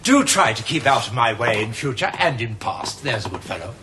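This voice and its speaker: An actor playing a haughty, condescending gentleman time traveler in an old TV series clip. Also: medium pitch, sing-song, brisk, noisy background, natural voice. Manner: condescending, fussy.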